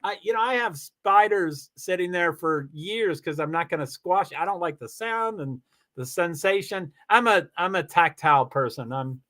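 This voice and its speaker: A man streaming live from home, chatting casually and rambling excitedly with lots of exclamations and restarts. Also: sits high, moderate pace. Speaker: live streamer reading chat. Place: home in Washington State.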